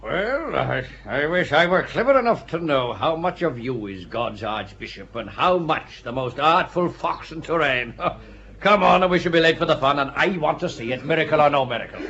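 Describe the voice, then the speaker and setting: A man's voice: fairly high pitch, lively intonation, normal speed, a bit bright. An actor playing a blunt, jovial nobleman who laughs and mocks heartily. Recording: dull audio.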